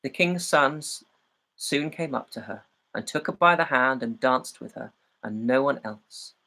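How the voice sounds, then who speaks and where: A man reading a fairy tale aloud as a storyteller, in a measured and expressive way. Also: mid-range voice, vocal fry, low-quality recording.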